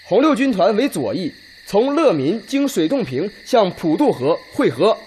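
An actor playing a confident army officer in a radio drama, giving a formal military briefing. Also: male voice, sits high, very animated, normal speed, darkish tone, a little hiss or hum.

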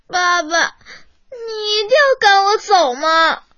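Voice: extremely high pitch, expressive, takes it slowly, pushed and bright, dry recording, muffled recording, exaggerated voice. A performer playing a devoted, stubborn and sensitive nine-year-old child in a radio drama, with a plaintive manner. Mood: tearful, pleading. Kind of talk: Chinese radio drama acting.

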